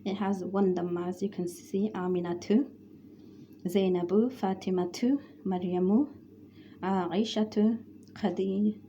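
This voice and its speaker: A woman's voice: mid-range voice, steady tone, a little slow, dark tone, a little hiss or hum. A woman teaching Arabic grammar, explaining patiently and repeating word lists.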